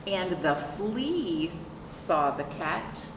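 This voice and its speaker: Middle-aged female storytime reader, expressive and unhurried, questioning young listeners; recorded at a distance in a noisy room. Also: lowish voice, roomy sound. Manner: deliberate, explanatory, asks rhetorical questions. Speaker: children's librarian hosting storytime. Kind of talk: picture book read-aloud with questions to young children. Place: indoor room with sofa. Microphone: camera a few feet away.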